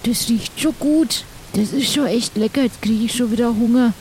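A woman's voice: fairly high pitch, normal speed, mellow, slight background noise, poor audio. A woman chatting casually in character as a player in an improvised tabletop game.

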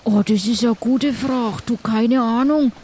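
A woman's voice: on the high side, a little slow, darkish tone, slight background noise, lightly acted. A woman playing a plucky pirate crew member in improvised roleplay, rambling colloquially. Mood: puzzled.

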